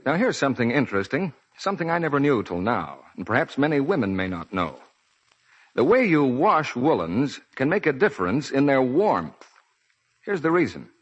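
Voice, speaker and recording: Male American announcer, vintage 1940s radio; animated, polished, explanatory, slightly muddy and husky recording. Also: medium pitch, normal speed, faint music behind. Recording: dull 1940s radio broadcast.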